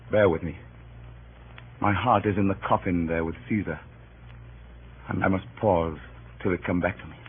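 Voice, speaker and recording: A man's voice: on the low side, natural voice. Mark Antony, grieving orator on crackly old radio played by a man. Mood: grieving, hushed. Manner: theatrical, declamatory, swinging from hushed grief to cries. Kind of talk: old-time radio Shakespeare acting, funeral oration. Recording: muffled, hissy old radio recording.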